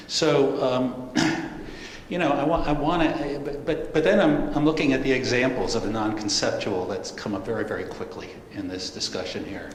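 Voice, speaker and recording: Male audience questioner, low voice, hesitant and rambling, reverberant hall. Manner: rambling, hesitant with many fillers. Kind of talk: question from the floor. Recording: echoey hall with long reverb, faint noise.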